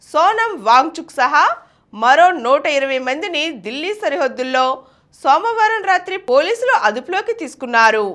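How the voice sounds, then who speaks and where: High-pitched woman reading news. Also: fairly bright tone. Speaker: news reader. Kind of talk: scripted news bulletin narration.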